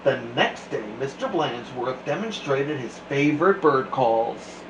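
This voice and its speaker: A man hosting a children's storytime, reading aloud with deliberate, theatrical emphasis. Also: medium pitch, lively intonation. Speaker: storytime host and reader. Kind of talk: expressive read-aloud narration for children. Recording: echoey room with faint noise.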